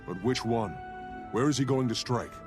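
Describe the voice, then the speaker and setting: A man's voice: medium pitch, normal speed, music in the background, natural voice. An actor, a man, playing an urgent, determined fantasy hero in a video game, earnest and direct. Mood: concerned.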